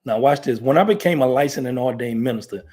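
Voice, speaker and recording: A man's voice: medium pitch, fast talker, mellow. Rambling conversational American man. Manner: rambling, conversational, many fillers and restarts. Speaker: talk show host, ordained minister. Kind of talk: casual talk show chat.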